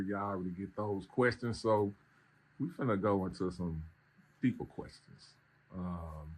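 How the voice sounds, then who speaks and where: A man's voice: on the low side, a little hiss or hum, poor audio. A man hosting a casual interview, laid-back, asking questions in loose slang and answering with short affirmations. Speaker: interview host.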